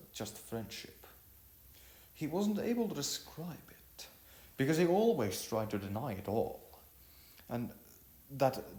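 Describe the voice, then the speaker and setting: Echoey, halting male story narrator, expressive pitch. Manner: halting delivery, often repeating and correcting words. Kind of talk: amateur story narration, read aloud.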